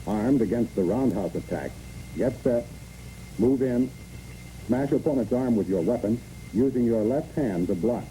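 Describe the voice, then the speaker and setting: A man's voice: medium pitch, measured pace, dark tone, slight background noise. A man reads scripted instructional narration for a training film in clipped, imperative phrases, on a muffled old film recording.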